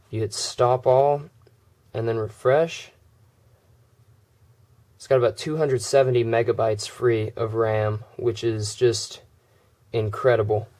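A man with a cold, his voice going hoarse, casually walking through a phone's software and filling gaps with uhs and ums. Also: mid-range voice, measured pace, pauses often. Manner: relaxed, many "uh" and "um" fillers. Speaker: tech video creator. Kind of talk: casual screen demo walkthrough.

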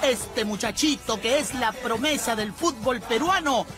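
A woman's voice: a little high, measured pace. A woman with a Peruvian Spanish accent narrating a gossip segment in a sarcastic, teasing voice-over. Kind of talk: mocking scripted voice-over narration. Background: loud reggaeton music.

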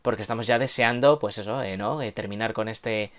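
A man's voice: fairly low pitch, a little fast. A man in his thirties with a Castilian accent from Spain, co-hosting a casual news chat in a hesitant, slangy, chatty way. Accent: Castilian Spanish from Spain. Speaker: co-host of a manga and anime news show. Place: home room with bookshelves. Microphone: lapel mic.

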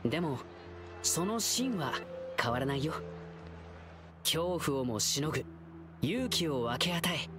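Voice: medium pitch, lively intonation, takes it slowly, pauses often. An actor voicing an earnest, friendly, boyish lion-eared young dancer in a Japanese anime game. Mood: earnest, reflective. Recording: game background music under voice.

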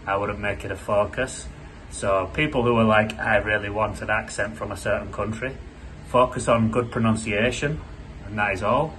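A man in his thirties with a Yorkshire accent, an English teacher explaining to camera in a casual, unscripted way, with many pauses and fillers. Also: fairly low pitch, fairly bright tone, a little hiss or hum, low-quality recording. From Yorkshire, England. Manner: unscripted, with frequent "uh" pauses and restarts. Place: small indoor room with a plain wall.

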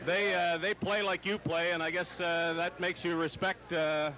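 A middle-aged man doing live post-game interviews as a sports broadcaster, pausing often, on a handheld mic in a locker room. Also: high voice, little pitch movement, bright, forceful tone. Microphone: handheld microphone.

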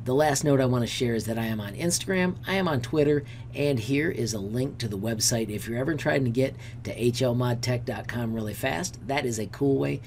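A man's voice: medium pitch, brisk, hardly any pauses, darkish tone. Enthusiastic man, 30s, teacher-host, friendly mid-range voice, light room noise. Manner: upbeat, enthusiastic, keeps calling viewers friends. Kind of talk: channel update screen-share vlog. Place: indoor room.

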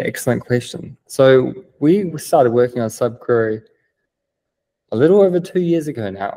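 A man's voice: medium pitch, dark tone. A young man with a New Zealand accent, explaining things eagerly on a remote video call, with many "um" fillers. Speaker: guest, business developer. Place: plain-walled room indoors.

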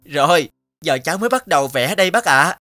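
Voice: medium pitch, lively intonation, brisk, pushed and bright. An actor voicing a proud young male painter in a cartoon dub, polite but boastful. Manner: polite, self-satisfied. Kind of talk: cartoon dubbing. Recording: music behind the voice.

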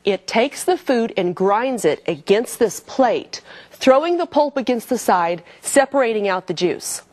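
A woman's voice: a little high, animated, a little slow, darkish tone. A middle-aged woman hosting a cooking show, explaining a demonstration in her kitchen to the viewer in an upbeat way. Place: home-style kitchen studio.

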